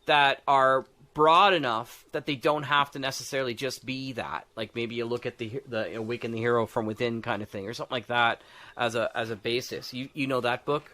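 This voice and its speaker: A man with a bright, forward voice at a medium pitch talks casually about game design. He works out his ideas aloud in halting phrases and often checks that the others agree. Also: fairly even intonation. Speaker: podcast co-host.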